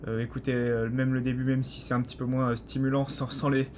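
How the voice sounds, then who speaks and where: A man's voice: medium pitch, level tone, fast. A man hosting a casual interview, eager and slangy, recorded on a phone. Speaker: host. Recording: phone recording, faint noise.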